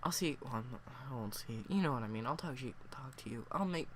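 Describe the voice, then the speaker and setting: A man with a cold, sniffling and hoarse, reviewing a story in a casual, rambling way full of fillers. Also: mid-range voice, lively intonation, brisk, fairly bright tone, a little hiss or hum. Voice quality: hoarse, worn voice.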